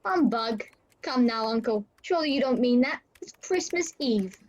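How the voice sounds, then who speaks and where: A woman's voice: fairly high pitch, lively intonation, moderate pace. A woman playing a kindly, polite young nephew in a radio play. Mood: gently chiding. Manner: polite, friendly. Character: cheerful, kindly young nephew of the old miser. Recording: dull, lo-fi video call.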